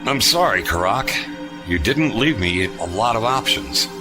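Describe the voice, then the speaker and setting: Confident starship captain man acting, commanding, expressive delivery, sci-fi audio drama over loud music. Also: lowish voice. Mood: wry calm. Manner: measured explanations, firm commands. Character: confident, shrewd male starship captain, steady under pressure.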